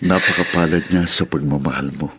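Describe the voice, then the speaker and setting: A man playing a gentle, concerned friend in a radio drama, speaking earnestly. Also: extremely low pitch, fairly even intonation, a little fast, slightly rough, full character voice. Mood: consoling. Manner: earnest, consoling. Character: gentle, concerned male friend bearing news.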